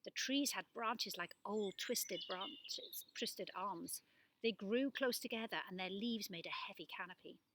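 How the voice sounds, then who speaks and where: A woman giving an expressive, animated reading of a children's story. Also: mid-range voice, unhurried, slight background noise. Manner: animated delivery with acted-out dialogue. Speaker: storybook reader.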